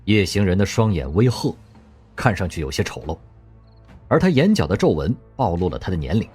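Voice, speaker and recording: Audio drama narrator: a man, lowish and breathy voice, expressive delivery. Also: a little fast. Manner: expressive storytelling. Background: faint music.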